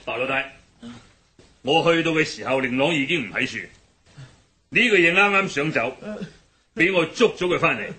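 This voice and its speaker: An actor playing a loyal gang lieutenant in a radio drama, speaking with a Hong Kong Cantonese accent in a firm, matter-of-fact manner. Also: male voice, fairly high pitch, lively intonation, moderate pace, long pauses, sharp tone.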